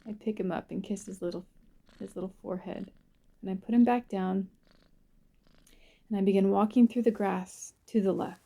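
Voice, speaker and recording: Very mellow woman guiding a visualization. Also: mid-range voice, fairly even intonation, gravelly. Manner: soothing, unhurried, short phrases with pauses. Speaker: guided visualization narrator. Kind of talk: guided visualization, first-person present-tense narration.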